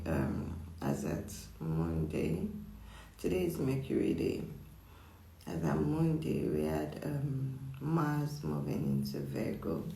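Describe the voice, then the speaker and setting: A hoarse, croaky psychic chats through a weekly horoscope, repeating words and checking in with "okay?". The voice has a gravelly fry. It sits in a roomy, echoing space. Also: medium pitch, dark tone. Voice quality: husky, croaky. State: hoarse from just waking up. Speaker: psychic astrologer. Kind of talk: casual weekly horoscope reading. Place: indoor room with echo. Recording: echoey room.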